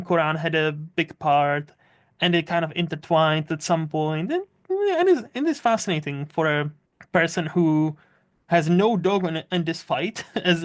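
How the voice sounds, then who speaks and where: Chatty podcast host, filler-heavy. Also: mid-range voice. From not from Scotland. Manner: chatty, many "like"s, frequent laughter. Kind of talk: casual conversation.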